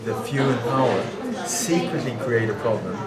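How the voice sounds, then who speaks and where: A man with a middle-pitched voice talks earnestly, hammering home points by repeating words. He is recorded in an echoey conference hall. A loud crowd murmurs behind him. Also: animated, moderate pace. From Denmark and Sweden. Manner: earnest, repetitive, emphatic. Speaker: interviewee. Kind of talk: conference interview. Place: conference venue indoors. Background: loud crowd chatter.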